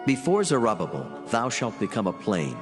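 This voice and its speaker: A man playing a solemn, authoritative angel who proclaims God's commands in a grave, measured, declamatory way in dramatized scripture. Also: fairly low pitch, huge pitch swings. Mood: solemn, proclaiming. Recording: long hall reverb, music underneath. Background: background music.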